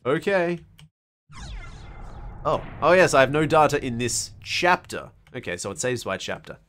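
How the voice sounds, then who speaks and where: A man's voice: medium pitch, big pitch swings, a little slow, a bit bright, a little hiss or hum. A young Australian man chats his way through a game stream in a wry, self-mocking tone. His mid-range voice sounds slightly strained, and it leaps up and down expressively as he jokes. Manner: wry, self-mocking asides with playful read-alongs. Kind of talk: casual let's play commentary.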